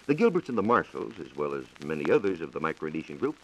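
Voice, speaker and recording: An American man giving a scripted 1940s radio science talk as a reporter, in a measured, formal lecturing manner. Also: rumbling low, big pitch swings, slight background noise, rough recording. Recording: 1940s radio broadcast recording.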